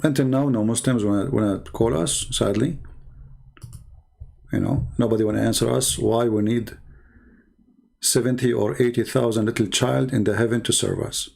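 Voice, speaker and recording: A middle-aged man from the Middle East, a native Arabic speaker, lecturing on a live stream. His voice has a gravelly, creaky edge at a middling pitch. He hammers his points home with repetition and rhetorical questions. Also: fairly even intonation, normal speed, pauses often, a little mellow.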